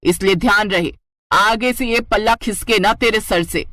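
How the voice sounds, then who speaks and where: A woman's voice: medium pitch, moderate pace, a bit bright, natural voice. Lady doing a bossy old-school saas in a cartoon story, always scolding and scandalized. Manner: nagging, indignant, exclaiming. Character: scolding, old-fashioned older mother-in-law, easily scandalized. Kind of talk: Hindi moral story cartoon dialogue.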